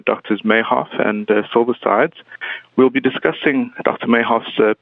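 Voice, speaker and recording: A man's voice: lowish voice, vocal fry, no echo. A man hosting a podcast interview in a polite, formal way with some fillers. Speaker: podcast host and editor. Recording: tinny phone-like line, dry.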